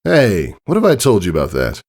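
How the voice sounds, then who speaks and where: Storybook pony dad, played by a man: casual, fatherly nagging, voice raised and lively. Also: on the low side, brisk, pitched above the performer's own voice, heavily acted. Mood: playful scolding. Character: easygoing, fussing pony father.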